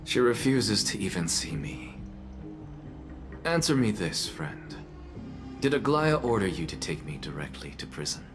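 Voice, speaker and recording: Haughty, embittered scholar for a game: very low voice, dry sarcasm, measured delivery, prominent music behind. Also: male voice, very animated. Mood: bitter, sardonic. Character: haughty, bitter, ailing male scholar.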